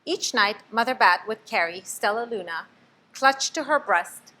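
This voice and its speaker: A woman reading a children's picture book aloud, expressive, stopping to ask the listener questions. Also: medium pitch, unhurried. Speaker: storybook reader.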